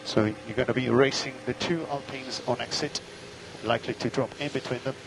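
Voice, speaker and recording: A man's voice: medium pitch, steady tone, fairly quick, background noise. A man working as a race engineer, giving clipped, matter-of-fact instructions and gap updates over team radio, often repeating key points.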